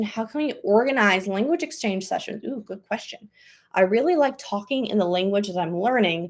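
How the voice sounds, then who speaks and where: Chatty upbeat woman, live Q&A host. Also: mid-range voice. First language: English. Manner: chatty, upbeat, many fillers like "um" and "like". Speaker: language coach hosting a live Q&A. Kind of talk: casual live-stream chat answering viewer questions.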